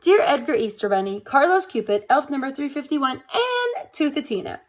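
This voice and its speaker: A woman's voice: high-pitched, sing-song, normal speed. A woman voicing an eager, dramatic little storybook girl with an exclaiming, emphatic delivery. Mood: grateful, sweet.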